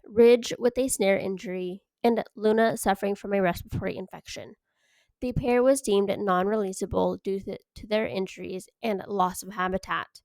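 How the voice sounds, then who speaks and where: Young woman with a nasal cold, relaxed rambling game voice-over, smooth tone, dry booth-like sound. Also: medium pitch, no echo. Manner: rambling, self-correcting, chatty. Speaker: YouTube host. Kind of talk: casual game commentary voice-over.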